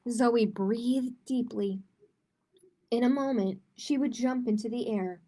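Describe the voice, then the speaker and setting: Woman storytime host, higher-pitched voice, very unhurried, simple encouraging delivery for young children. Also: warm tone. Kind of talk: reading picture books aloud to children.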